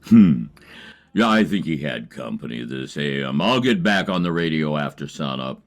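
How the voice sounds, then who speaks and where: A man playing a laconic, easygoing, dry-humored backwoods survivor in an audio drama, speaking tersely and deadpan. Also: rumbling low, normal speed. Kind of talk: amateur audio drama acting.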